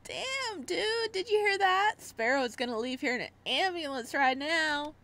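A woman acts out a young campus bully from a visual novel. The voice is high, with a sneering edge. The delivery is taunting and full of menace. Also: lively intonation, moderate pace, a bit bright. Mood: mocking. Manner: taunting, threatening.

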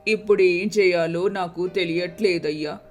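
A woman's voice: fairly low pitch, steady tone, moderate pace, a little mellow, faint music behind, lightly acted. A woman playing a humble old village mother in a moral-story cartoon, deferential and plaintive. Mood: pleading.

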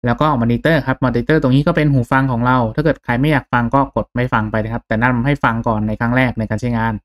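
A man giving an instructional, step-by-step software tutorial in a casual and polite way. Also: mid-range voice, steady tone, fast. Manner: instructional, frequent polite particles. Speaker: tutorial host.

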